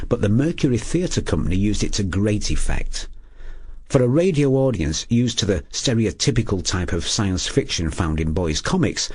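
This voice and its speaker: A man's voice: lowish voice, darkish tone, husky. A man delivering scripted documentary narration in a storytelling manner.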